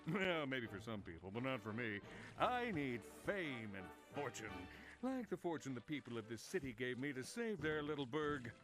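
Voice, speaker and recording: An actor playing a pompous, self-absorbed would-be hero, bragging breezily in a video game cutscene. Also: male voice, medium pitch, very animated, moderate pace, over music, lightly acted. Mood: smug. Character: boastful, self-absorbed male would-be hero seeking fame.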